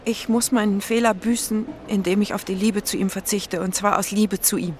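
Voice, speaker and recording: A woman's voice: medium pitch, fairly even intonation, moderate pace, a little hiss or hum, close to an everyday voice. An actress playing a lovelorn, guilt-ridden, melodramatic woman who talks in an agitated, theatrical way in an audio drama. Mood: guilty, bitter. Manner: agitated, theatrical, self-accusing. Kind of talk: audio drama dialogue acting.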